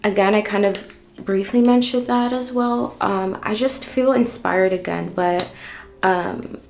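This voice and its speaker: Young Dutch beauty vlogger, American-sounding English, mid pitch with vocal fry, casual makeup chatter. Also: female voice, measured pace, a little hiss or hum. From Netherlands. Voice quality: slangy, says "like" often. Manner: chatty, talks while doing makeup. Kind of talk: casual get-ready-with-me chat.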